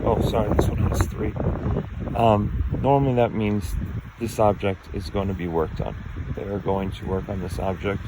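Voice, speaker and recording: Gravelly man losing his voice. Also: fairly low pitch, noisy background. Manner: rambling, hesitant, lots of ums and uhs. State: losing his voice, a little sick. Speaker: artifact collector explaining finds. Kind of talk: casual show-and-tell explanation.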